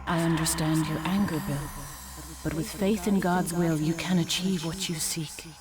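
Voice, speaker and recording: Woman playing a solemn, low-toned angelic guide. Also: fairly even intonation, normal speed, crowd noise, natural voice. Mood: reassuring, exalted. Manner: solemn, formal, reassuring. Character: serene, devout female angelic soul guide.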